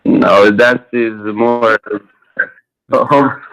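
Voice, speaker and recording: Hesitant man giving halting replies. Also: mid-range voice, fairly even intonation, vocal fry, a loud crowd, poor audio. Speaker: guest. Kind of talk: casual chat.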